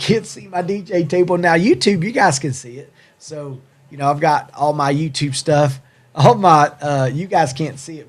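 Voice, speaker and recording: A man from Tennessee with a Southern American accent, chatting casually as a livestream host in a rambling, jokey, self-deprecating way. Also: fairly high pitch. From Tennessee, USA. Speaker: livestream host and hat company owner. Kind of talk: casual live chat with viewers.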